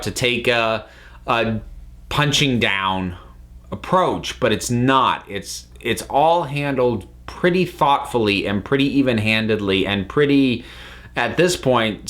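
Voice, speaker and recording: A man hosting a review vlog, talking casually and thoughtfully, with halting phrasing that often doubles back on words. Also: medium pitch, fairly bright tone. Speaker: review channel host. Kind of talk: casual spoken review to camera.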